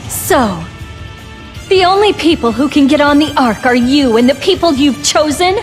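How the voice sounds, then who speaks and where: A woman playing a resolute, protective young fighter in a game, speaking in an earnest, deliberate way. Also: high voice, expressive, faint music behind. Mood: challenging. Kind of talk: dramatic game cutscene acting.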